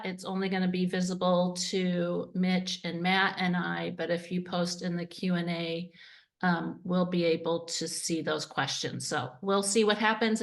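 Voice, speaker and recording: A woman hosting a webinar on a video call, enthusiastic and talking with many fillers. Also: fairly low pitch. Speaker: event host. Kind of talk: webinar introduction.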